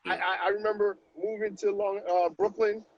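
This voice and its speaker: High-voiced man on a dull video call. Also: normal speed, a little hiss or hum. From Haiti. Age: 30s. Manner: animated, with frequent tag questions. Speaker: guest. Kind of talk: casual storytelling on a live stream.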